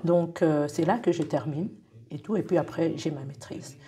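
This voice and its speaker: A middle-aged woman whose first language is French speaks in a low, mellow voice. She talks in a relaxed, chatty way, circling back with fillers and restarts as she tells her story. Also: normal speed. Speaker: guest. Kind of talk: casual conversational interview.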